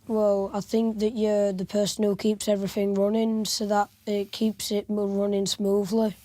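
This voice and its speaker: A polite, earnest twelve-year-old boy with a Northern English accent, talking a bit haltingly. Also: high-pitched, monotone, warm tone, no room sound.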